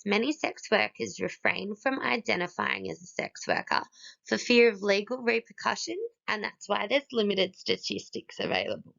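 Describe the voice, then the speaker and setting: Female student presenter, hoarse from a cold, heavy vocal fry, animated delivery, steady list reading. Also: medium pitch. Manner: steady, listing one item after another. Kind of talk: read-aloud presentation. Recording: dull lo-fi recording.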